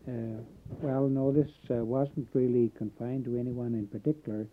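A man born in Canada recalling his Welsh community in a halting oral history interview, pausing often. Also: medium pitch, steady tone, a little hiss or hum. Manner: halting, many filler pauses. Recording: tinny phone-like old tape.

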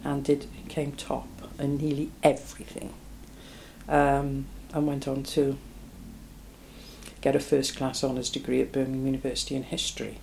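Low, mellow-voiced woman in her seventies. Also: completely flat delivery, normal speed, gravelly, slight background noise, rough recording. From Belgium. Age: 70s. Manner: reflective, plain-spoken, frequent ums. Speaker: oral history interviewee. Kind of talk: oral history interview.

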